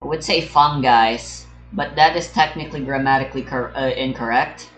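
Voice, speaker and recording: A man's voice: medium pitch, normal speed, fairly bright tone, dull audio. A young man giving rambling, casual game commentary, musing aloud and often correcting himself as he goes. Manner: musing, hesitant, self-correcting. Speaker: gamer recording a playthrough. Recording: roomy sound over game music. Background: game music.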